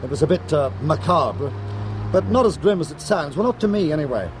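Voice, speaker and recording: An actor playing a wry, cool-headed criminal courier in a radio drama, speaking in a conversational way. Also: male voice, fairly high pitch, lively intonation, a little fast, a little hiss or hum, close to an everyday voice. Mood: intrigued, wry. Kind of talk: radio drama acting, anecdotal dialogue.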